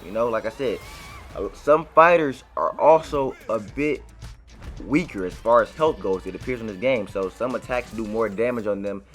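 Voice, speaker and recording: Low-voiced gamer guy, music behind. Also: moderate pace. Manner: conversational, informal slang. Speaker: gaming video creator. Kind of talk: casual gameplay commentary. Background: game music.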